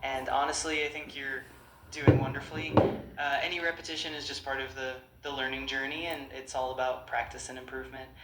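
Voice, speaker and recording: Bright, upbeat AI voice in an echoey room. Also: mid-range voice, slight background noise. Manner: upbeat, reassuring, polished. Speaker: AI conversation partner. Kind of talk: encouraging chatbot replies.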